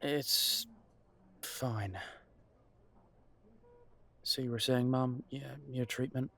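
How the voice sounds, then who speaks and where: A blunt grown son back from soldiering, played by a man in an audio drama. He speaks slowly at a middling pitch, direct yet worried, hesitating when feelings come up. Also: steady tone, gravelly, bright, forceful tone, heavily acted. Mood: impatient.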